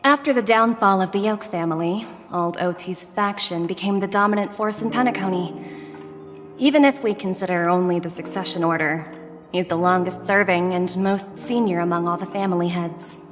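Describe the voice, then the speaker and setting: An actress playing an astute, respectful junior corporate envoy in a video game, polished, precise and businesslike. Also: female voice, fairly low pitch, moderate pace, close to an everyday voice. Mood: analytical. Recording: reverberant hall, music behind.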